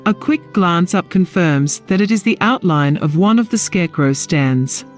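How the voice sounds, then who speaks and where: Animated woman narrator, scripted fantasy story. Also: lowish voice, normal speed. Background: music.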